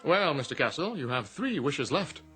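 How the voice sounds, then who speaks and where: Suave, theatrical ancient genie. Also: male voice, on the high side, big pitch swings, moderate pace, sharp tone, soft background music. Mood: smooth, businesslike. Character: urbane, smug male genie, ancient and patient. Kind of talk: radio drama acting.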